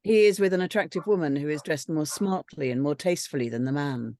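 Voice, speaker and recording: A woman's voice: low-pitched, brisk, mellow, no room sound. A woman reading out screenplay stage directions as scripted narration.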